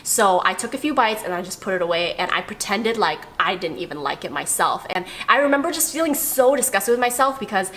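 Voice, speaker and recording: A woman's voice: mid-range voice, expressive, brisk, few pauses, fairly bright tone, rough recording. A young woman recounts her memories directly to the camera. She speaks candidly and with feeling, in an ordinary mid-range voice. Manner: candid and emotional, with acted quotes. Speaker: video storyteller. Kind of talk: personal storytelling to camera.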